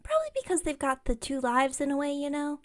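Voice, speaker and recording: Woman acting as high-voiced, bubbly chatty witch. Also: big pitch swings, quick pace. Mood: curious, rambling. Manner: bubbly, rambling, friendly. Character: chatty, enthusiastic, slightly incompetent young witch shopkeeper. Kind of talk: ASMR roleplay audio, one-sided chat with listener.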